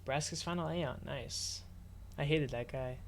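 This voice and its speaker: A man's voice: fairly high pitch, unhurried, gravelly, faint music behind. A man giving casual streamer reaction commentary in short, amused interjections. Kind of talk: casual reaction commentary over an audio drama.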